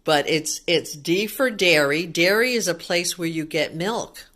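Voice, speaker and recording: A woman's voice: fairly low pitch, a little slow, fairly bright tone. A woman reading a picture book aloud to young children, asking simple questions and explaining things as a teacher would.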